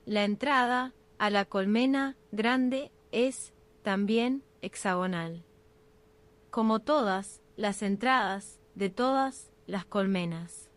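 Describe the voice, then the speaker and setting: A woman narrating a scripted ASMR guided sleep meditation in short, unhurried phrases with pauses. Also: mid-range voice.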